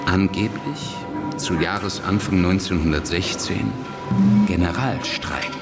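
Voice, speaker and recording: An actor playing an indignant, gossiping informant in a radio drama. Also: male voice, low voice, measured pace, creaky. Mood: incredulous. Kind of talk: German radio drama acting. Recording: music bed behind the voice.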